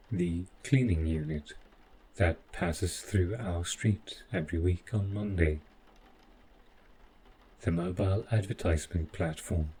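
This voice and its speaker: A deep-voiced male robot butler, acted out by a man for an audio roleplay. He speaks very slowly in formal, clinical phrases, devoted and possessive with a calm menace. Faint noise sits behind the voice. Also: poor audio. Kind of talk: scripted audio roleplay addressed to the listener.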